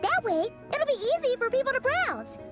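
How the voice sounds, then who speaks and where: Tiny chirpy fairy sidekick, very high squeaky voice, bouncy swings, music under it. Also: female voice, big pitch swings, moderate pace. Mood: planning. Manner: bubbly, upbeat. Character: small floating fairy companion, perky and chatty. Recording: game audio with music behind.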